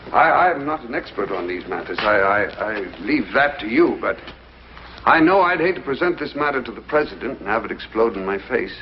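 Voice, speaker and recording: A man with an American accent playing a courteous, hesitant government official in an old TV drama, speaking formally and haltingly. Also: mid-range voice, lively intonation, lightly acted. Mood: measured, courteous. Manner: halting, deliberate, formal and polite. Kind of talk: mid-century American TV drama acting. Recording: dull, noisy vintage TV soundtrack.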